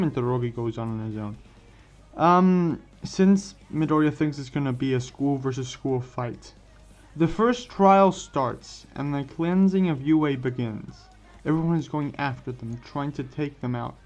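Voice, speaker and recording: A man's voice: mid-range voice, normal speed, a little mellow. A man hosting and narrating a scripted fan story for an online video, stumbling over and repeating words as he reads. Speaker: host and narrator of a what-if story series. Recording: muffled lo-fi voice over background music. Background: music.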